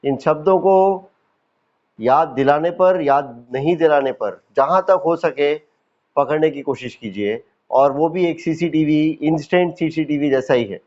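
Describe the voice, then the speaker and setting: A man with an Indian accent leading a guided yoga nidra meditation as a teacher, calm and instructive, with deliberate pauses and repetition. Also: on the high side, dull audio.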